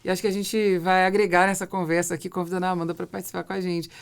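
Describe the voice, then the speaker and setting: A woman's voice: lowish voice, level tone, racing, gravelly, clear, resonant tone. A Brazilian woman hosting a casual podcast interview, speaking in an agreeable, conversational way. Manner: agreeable, frequent short affirmations. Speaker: podcast host.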